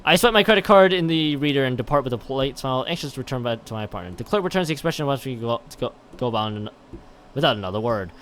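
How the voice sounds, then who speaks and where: A man's voice: mid-range voice, expressive, very fast, a bit bright, slight background noise. A man livestreaming, reading a visual novel aloud and chatting, often stumbling and repeating words, with dramatic emphasis. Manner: stumbling over read text, repeating and restarting words. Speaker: live game streamer.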